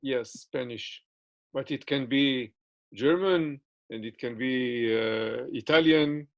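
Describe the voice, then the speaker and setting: Guy answering interview questions slow n gravelly, lots of uhs, english isnt his first language. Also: mid-range voice, muffled recording. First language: not English. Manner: hesitant, many fillers and restarts.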